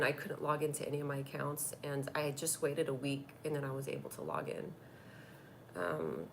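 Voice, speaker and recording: Stuffy, gravelly woman chatting on a live stream. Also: deep voice, a little hiss or hum. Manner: chatty and rambling, reacting to comments. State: stuffy from a cold. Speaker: comedy show host doing a live stream. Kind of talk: casual live-stream chat reading viewer comments. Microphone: tablet.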